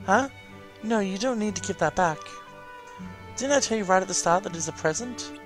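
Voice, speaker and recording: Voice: medium pitch, animated, brisk, music in the background, pitched above the performer's own voice, natural voice. A person voicing a small, eager spirit familiar from a video game in a chirpy, encouraging way. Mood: cheerful, coaxing. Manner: bubbly, encouraging, coaxing.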